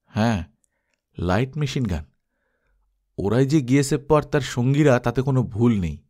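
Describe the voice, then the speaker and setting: A man's voice: medium pitch, expressive, moderate pace, close to an everyday voice. A man voicing a cautious male adventurer in an audiobook, with an urgent, commanding manner. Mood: hushed warning. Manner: urgent, hushed at times, commanding. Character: cautious German adventurer giving urgent orders.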